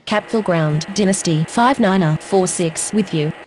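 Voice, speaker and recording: A woman's voice: fairly low pitch, moderate pace. A woman speaking short, scripted aviation radio phraseology in an air traffic control game.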